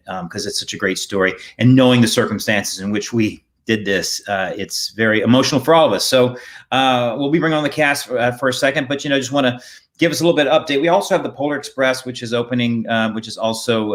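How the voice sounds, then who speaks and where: A middle-aged man hosts an informal theater talk on a video call. His voice sits at an average male pitch. He feels his way through sentences, stopping and restarting as he goes. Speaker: theater host introducing cast. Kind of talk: casual promotional chat. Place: indoors at home or studio, on a video call.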